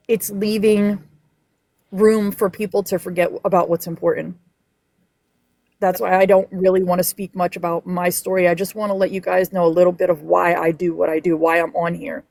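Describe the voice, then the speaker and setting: Woman panel guest, low-ish pitch, earnest and moved, halting delivery, dry close mic. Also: darkish tone, dry recording. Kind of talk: personal testimony on a live stream.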